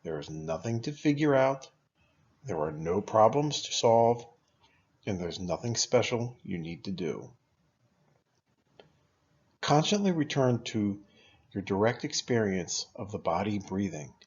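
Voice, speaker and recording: A man leading a guided meditation as a teacher, speaking in a calm, unhurried, instructional way with long pauses. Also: on the low side, husky, muffled recording.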